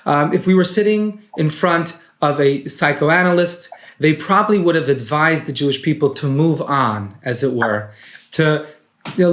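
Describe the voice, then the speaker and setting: Higher-voiced rabbi explaining in an echoey room. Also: male voice, a bit bright. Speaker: rabbi and author. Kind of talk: explanatory talk on teachings.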